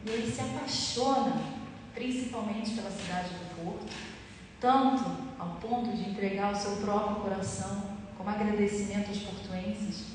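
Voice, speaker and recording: A woman's voice: mid-range voice, a little mellow. A woman with a Brazilian accent speaking publicly as an author at a book launch, answering questions and presenting her work. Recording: very echoey hall, distant mic, faint noise.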